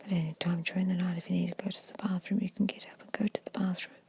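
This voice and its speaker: A woman whispers a guided ASMR hypnosis meditation in a breathy, hushed voice, giving gentle, repetitive suggestions. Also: on the low side, little pitch movement, very fast.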